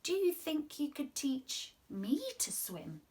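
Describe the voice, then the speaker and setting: Reader as tiny storybook woman, eager, admiring, excitable, high voice, swooping intonation. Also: slow, exaggerated voice. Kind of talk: children's storybook character reading.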